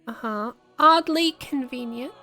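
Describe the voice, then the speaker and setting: A woman's voice: sits high, measured pace, bright, forceful tone, soft background music. A woman giving casual, skeptical and sarcastic reaction commentary as she streams a game story.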